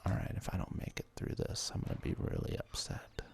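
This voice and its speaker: A man in his thirties whispers ASMR video game commentary in a hesitant, self-deprecating, rambling way. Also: a little fast. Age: 30s. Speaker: ASMR let's play host. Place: apartment.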